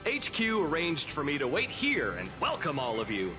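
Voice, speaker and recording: A man's voice: on the high side, huge pitch swings, moderate pace, music in the background. A man voicing a gracious, courteous venue greeter in a formal, theatrical anime game style. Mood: courteous, welcoming.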